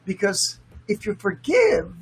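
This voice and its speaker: A man's voice: sits high, wildly swooping pitch, slow, gravelly, slightly rough. A man co-hosting a casual bilingual morning chat, easygoing and teasing, often finishing his partner's sentences. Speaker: co-host.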